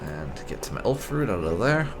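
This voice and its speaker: Very deep, creaky-voiced man commentating a game. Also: sing-song, moderate pace. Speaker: gaming video host. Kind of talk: casual roleplay game commentary. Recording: game music behind the voice. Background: video game music.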